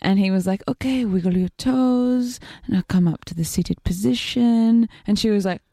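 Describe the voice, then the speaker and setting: An Australian woman co-hosting a studio radio show, her voice a bit croaky, cheeky and full of lively stories. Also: medium pitch, normal speed, no room sound. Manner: cheeky, lively storyteller, laughs easily. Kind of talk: casual studio banter. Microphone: studio microphone.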